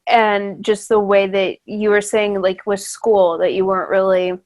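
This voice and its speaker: A woman's voice: mid-range voice, flat delivery, unhurried, a little mellow. Chatty young woman podcast host. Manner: chatty, many fillers and restarts, laughs easily. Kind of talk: casual interview chat.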